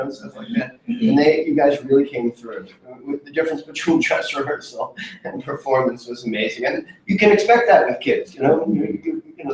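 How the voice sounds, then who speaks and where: A man's voice: a little high, slight background noise. Guy running a meeting, encouraging, far off n echoey room. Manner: warm, encouraging, repeats words. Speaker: event organizer. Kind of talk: casual group meeting. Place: large rehearsal studio, indoors.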